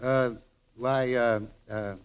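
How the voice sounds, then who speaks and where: A man's voice: medium pitch, little pitch movement, slow pace, clean tone, natural voice. An actor playing a shy young soldier in a vintage radio comedy, hesitant and folksy, stumbling over his words. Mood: bashful. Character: bashful, awkward young soldier houseguest. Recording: noisy old radio recording.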